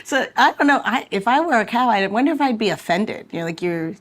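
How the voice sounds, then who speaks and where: A woman's voice: fairly high pitch, animated, fairly quick, a bit bright. A woman hosting a casual interview podcast in a playful, conversational manner. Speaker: podcast host.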